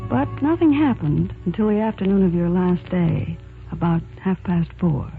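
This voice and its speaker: A woman's voice: fairly low pitch, moderate pace, gravelly, phone-quality sound. An actress playing a wistful, self-possessed young shopgirl torn in love, in 1940s radio drama style. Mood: reflective. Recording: vintage 1940s radio recording, music behind.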